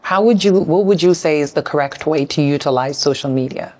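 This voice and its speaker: A woman with a low, steady voice conducts an interview. She is from South Sudan and speaks thoughtfully, often repeating a word while she works out her question. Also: a little fast. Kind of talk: conversational interview.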